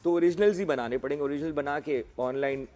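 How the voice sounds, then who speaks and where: A man, a singer and studio guest, explaining at length with examples in a relaxed chat. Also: fairly high pitch, fast.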